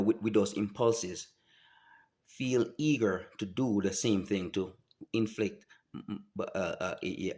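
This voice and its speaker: A man, telling his personal story to camera in a halting, earnest way with many restarts. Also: sits low, a little mellow. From Jamaica. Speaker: vlogger.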